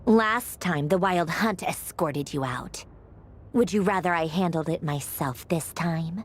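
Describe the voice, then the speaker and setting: An actress playing a haughty, sharp-witted young woman in a video game, sardonic and offhand with a dryly theatrical edge. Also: on the low side, unhurried, background noise, close to an everyday voice. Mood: haughty teasing. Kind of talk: scripted video game voice acting. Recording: music playing behind the voice.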